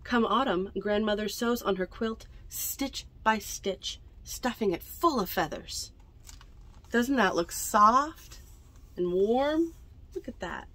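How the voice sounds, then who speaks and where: Young woman, mid-range voice, picture-book storytime reading, unhurried phrasing, chatty little asides. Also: mid-range voice. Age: young adult. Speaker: library storytime reader. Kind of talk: reading picture books aloud to children. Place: indoors among bookshelves in a small library bookmobile.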